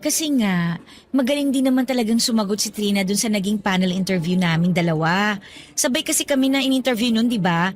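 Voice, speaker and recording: An actress playing a modest, fair-minded young office worker in a radio drama, conversational and mildly reproving. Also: female voice, medium pitch, fairly quick, slight background noise, natural voice. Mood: chatty, modest. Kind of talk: Tagalog radio drama dialogue.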